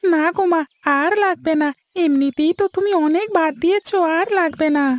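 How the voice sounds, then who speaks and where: A woman's voice: very high-pitched, fairly quick. A woman voicing an earnest, obedient schoolboy in a cartoon, a sweet and protective older brother with a childlike manner. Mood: polite. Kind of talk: Bengali cartoon voice acting.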